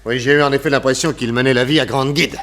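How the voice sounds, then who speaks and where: An actor playing a shrewd, unflappable private detective in a French radio play, with a measured, dryly skeptical way of questioning. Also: male voice, mid-range voice, steady tone, a bit bright, natural voice. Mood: probing.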